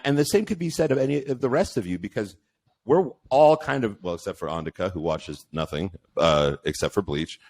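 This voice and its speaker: A man in casual podcast chat, rambling with many restarts and teasing the others. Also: on the low side.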